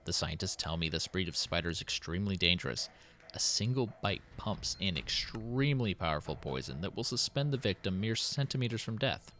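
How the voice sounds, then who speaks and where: Man acting a deep-voiced, solemn city president. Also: lively intonation, brisk, sharp tone, slight background noise. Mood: troubled, resolute. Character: worried, dutiful city president dictating his diary. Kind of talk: dramatic in-character diary reading.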